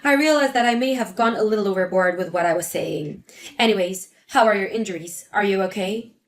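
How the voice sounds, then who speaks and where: A woman voicing a spiteful older mother-in-law who lectures and sneers, with a lowered voice. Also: brisk, natural voice. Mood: stiffly apologetic. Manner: scornful, lecturing.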